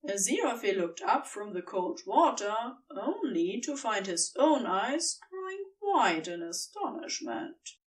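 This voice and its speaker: Lady narrating a story, slow and really animated, sounds a bit away from the mic. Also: a little high, far from the mic, low-quality recording.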